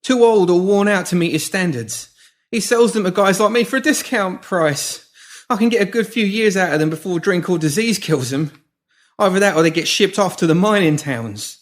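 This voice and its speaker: A seedy pimp played by a male narrator pleads in a high, strained voice pushed well up from normal speech. He babbles breathlessly and loudly, desperate and in pain, like a cornered crook in an audiobook thriller. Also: animated, moderate pace. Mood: desperate, babbling.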